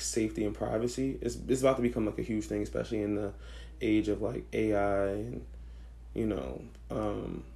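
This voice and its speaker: Young guy on a podcast, voice going hoarse, gravelly and raspy, laid-back slang. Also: fairly low pitch. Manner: relaxed, slangy, thinks aloud with fillers. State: hoarse, losing his voice. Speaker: podcast co-host. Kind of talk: casual chat.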